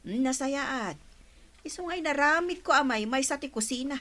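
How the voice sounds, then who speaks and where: A woman's voice: high-pitched, lively intonation, moderate pace. A woman playing a watchful, scolding boarding-house landlady in an audio drama. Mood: chiding. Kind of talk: dialogue in an amateur audio drama.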